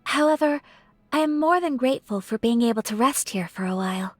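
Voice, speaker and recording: A woman voicing a refined, gentle young noblewoman in a video game, speaking with formal courtesy and shy affection. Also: a little high, expressive, fairly quick, no room sound. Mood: gracious, warm. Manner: formal, polite, softly hesitant phrasing. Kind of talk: scripted video game character voice acting.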